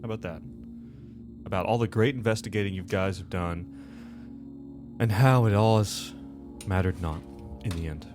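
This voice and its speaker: A man's voice: sits low, moderate pace, long pauses, music in the background. A man hosting a tabletop game show as game master, chatting and recapping casually in a rambling way, often correcting himself.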